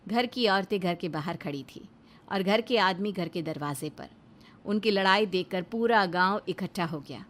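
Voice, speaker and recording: Casting: female cartoon-story narrator, medium pitch, scripted dramatic storytelling delivery. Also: normal speed, slight background noise.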